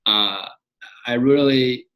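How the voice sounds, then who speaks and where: A young man in his twenties, a podcast guest talking in an energetic casual way, often repeating and restarting words. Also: medium pitch, slow pace, rough recording. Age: young adult. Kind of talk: casual interview chat.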